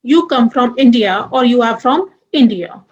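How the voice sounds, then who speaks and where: A young woman teaching French grammar, moving deliberately through examples and spelling out letters one by one. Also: fairly high pitch, lively intonation, darkish tone, muffled recording. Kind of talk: grammar lesson.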